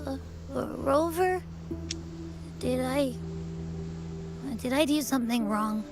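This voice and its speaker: A woman's voice: sits high, takes it slowly, over music. A woman voicing a small, childlike creature companion in a video game, hesitant and fond of food. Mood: timid, sulky.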